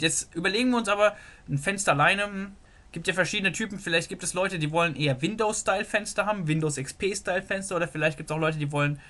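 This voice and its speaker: Man, programming tutor, slightly high voice, bright tone, thinking aloud, desktop mic. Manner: thinks aloud while sketching, many "so" fillers. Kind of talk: screen-recorded whiteboard lesson.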